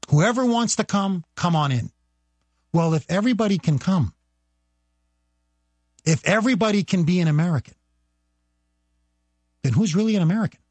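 A man guest-hosting a talk radio show, talking in a forceful, rhetorical way and speeding up as he presses his points. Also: high-pitched, lively intonation, normal speed, long pauses, no echo. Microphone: studio microphone.

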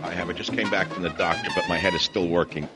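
An older man with a Bronx New York accent hosting a talk radio show, ranting combatively and swinging between muttering and shouting into a studio mic. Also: deep voice, quick pace, loud music behind the voice. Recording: dull, lo-fi, dry broadcast audio.

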